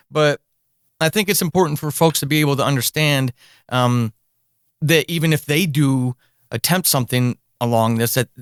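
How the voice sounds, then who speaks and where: A man's voice: mid-range voice, clean tone, no echo, clean studio sound. A man hosting a podcast, speaking in an encouraging way straight to his listeners. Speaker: podcast host. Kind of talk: conversational interview with promotional narration.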